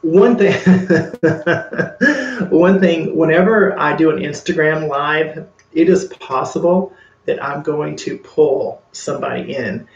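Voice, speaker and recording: A man's voice: fairly high pitch, takes it slowly, reverberant, highs cut off. A man casually chatting to his livestream viewers, rambling and earnest with many fillers. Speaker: makeup vlogger.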